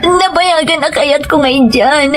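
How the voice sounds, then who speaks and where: A woman with a Filipino accent playing a remorseful, desperately pleading wife in a radio drama, her voice tearful. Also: slow pace. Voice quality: high, tearful voice. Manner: pleading, apologetic. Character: remorseful wife who gambled away her husband's money, pleading desperately. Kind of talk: Ilocano radio drama acting. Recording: music bed under the voice.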